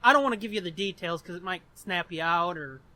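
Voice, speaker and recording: A man's voice: sits high, fast talker, bright, forceful tone. A man co-hosting a podcast in casual comedic banter, crude and sardonic, swearing freely. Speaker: podcast co-host.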